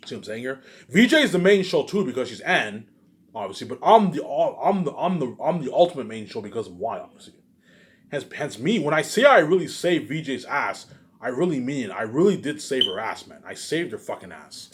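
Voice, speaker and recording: A man's voice: medium pitch, sing-song, a little fast. A man delivering a rambling, emphatic monologue straight to his viewers, repetitive, profane and accusatory in manner. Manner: emphatic and repetitive, punctuated with muttered tag words. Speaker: vlogger.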